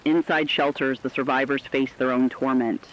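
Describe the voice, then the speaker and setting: A man narrating a scripted emergency radio broadcast in a grave, measured manner. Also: medium pitch, steady tone, fairly bright tone. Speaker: radio announcer. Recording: slightly dull audio with faint rain noise.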